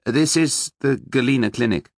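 A man's voice: mid-range voice, moderate pace, creaky, dry recording, clean studio sound, pitched above the performer's own voice. A man voicing an elderly, polite and hesitant male investigator in an audiobook. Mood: polite, probing.

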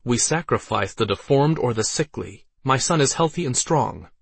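A proud sorceress and mother read by a man in a fantasy audiobook. She is imperious and commanding, with a cold certainty. The sound is dry and slightly husky. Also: mid-range voice, animated, moderate pace, no echo, pitched above the performer's own voice. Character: commanding, proud female archmage and ruthless mother. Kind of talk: audiobook character voice.

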